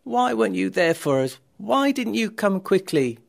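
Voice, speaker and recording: Man voicing a grieving, pleading woman, pitched up. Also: animated, creaky, breathy edge, heavily acted. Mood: upset, reproachful. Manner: halting, emotional, pleading. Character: grieving, reproachful young woman pleading with Jesus.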